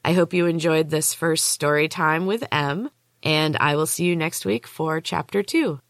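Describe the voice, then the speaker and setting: Female audiobook narrator, lower register, close dry recording, measured suspenseful first-person storytelling. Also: no room sound. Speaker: author and podcast host reading own book.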